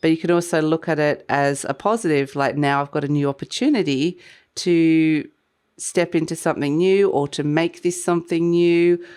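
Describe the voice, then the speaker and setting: A woman with a slightly croaky voice from a cold, giving a weekly tarot reading to camera in a meandering, reflective way. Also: deep voice, moderate pace, a little mellow. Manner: meandering, reflective, intuitive musing. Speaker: tarot reader.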